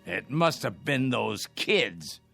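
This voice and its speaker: Gravelly, crotchety old man. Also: mid-range voice, sing-song, slow, pushed and bright, faint music behind, heavily acted. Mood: worried, indignant. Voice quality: creaky, gravelly. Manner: fretful, scoffing, stammering. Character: crotchety, worried old man, stubbornly down-to-earth. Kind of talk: radio drama acting.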